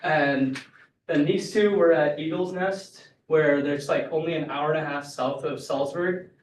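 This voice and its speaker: A man's voice: on the high side, fairly even intonation, unhurried, a little hiss or hum. A young man, a college senior, casually and upbeatly describing his photos, with many fillers. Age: young adult. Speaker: student speaker. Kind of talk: casual talk about photos. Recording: echoey room, distant mic.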